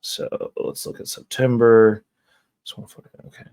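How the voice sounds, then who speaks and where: A man hosting a casual vlog, hoarse from losing his voice, talking in an upbeat, loose, rambling way. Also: medium pitch, flat delivery, moderate pace, darkish tone, no echo. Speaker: channel host. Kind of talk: casual market-update vlog.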